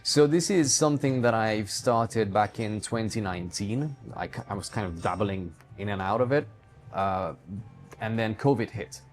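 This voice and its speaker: Man, from Hungary, low-pitched voice, interview guest, conversational delivery. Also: animated, normal speed, faint music behind. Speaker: guest, digital entrepreneur running a book publishing company.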